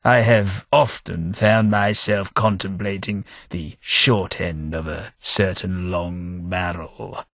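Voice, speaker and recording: A ghoul gentleman, courteous, dry wit, measured, deep-ish voice, close dry sound. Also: steady tone, no echo, heavily acted. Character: dying ghoul gentleman companion, courteous and wry. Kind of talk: game voice acting.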